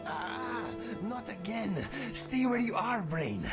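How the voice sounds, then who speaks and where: A man's voice: high-pitched, sing-song, slow pace, gravelly, over loud music, heavily acted. An earnest cat-folk swordsman. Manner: earnest, distracted, sniffing out trails. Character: male cat-person swordsman companion.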